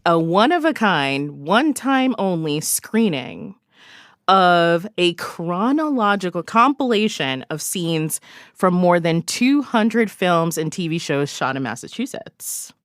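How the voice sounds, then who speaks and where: A woman's voice: on the low side, very animated, takes it slowly, dry recording, studio quality. A woman giving event recommendations as a radio guest, talking casually and chattily with emphatic, informal phrasing. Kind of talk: casual conversational radio chat.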